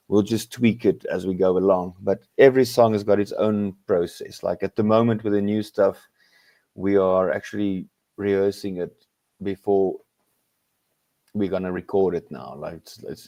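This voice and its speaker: A South African man whose first language is Afrikaans, a rock musician guest talking in a halting way with many pauses and restarts. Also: deep voice, warm tone, no echo. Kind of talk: casual interview chat.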